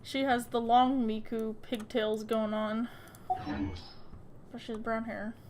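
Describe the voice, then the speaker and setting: A woman's voice: on the high side, flat delivery, slow. A woman chatting informally and casually about games with a friend. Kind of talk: casual chat. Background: loud game music.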